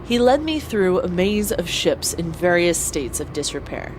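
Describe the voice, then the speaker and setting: Woman as young space pilot narrating a radio drama, earnest, very expressive, noisy background. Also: fairly low pitch, measured pace. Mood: reflective narration. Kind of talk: first-person audio drama narration. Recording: clear vehicle ambience behind voice.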